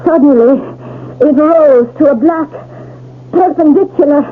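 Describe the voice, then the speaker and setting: Troubled high-pitched wife, trancelike and theatrical, thin noisy old radio mystery sound. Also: female voice, unhurried, phone-quality sound. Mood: anguished. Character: troubled wife, trancelike as she recounts a shipwreck. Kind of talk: 1970s radio mystery drama acting.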